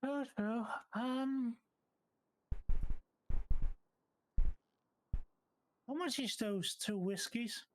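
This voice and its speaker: A woman's voice: fairly high pitch, takes it slowly. Laid-back female bar customer, played by a woman in game roleplay: hesitant, casual, plain-spoken. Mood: polite, relaxed. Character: laid-back female tavern patron from out of town.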